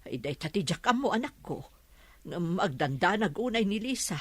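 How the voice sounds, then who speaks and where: A middle-aged woman with an Ilocano accent playing a gentle, worried village mother. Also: mid-range voice, big pitch swings, moderate pace, dry recording. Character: anxious village mother of a missing son.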